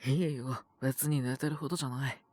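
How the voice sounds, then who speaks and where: A man voicing a possessive, teasing young half-human wolf man with a cold, in an intimate romantic audio roleplay, breathy and rough-spoken. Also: mid-range voice, steady tone, brisk, a little mellow, dry recording, lightly acted. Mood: teasing, sulky. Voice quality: husky, breathy, often near a whisper. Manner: languid, rough casual speech, teasing. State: sick with a cold, coughing (acted).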